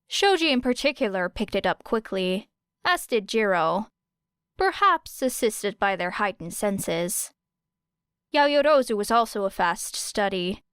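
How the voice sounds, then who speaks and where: A woman's voice: medium pitch, a bit bright, smooth, no room sound, studio quality. A woman reading fiction aloud as an audiobook narrator. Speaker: audiobook reader.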